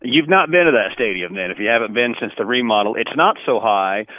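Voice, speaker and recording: A man's voice: medium pitch, expressive, brisk, dry recording, phone-quality sound. A man who works as a play-by-play announcer giving detailed, articulate sports commentary as an interview guest, conversationally.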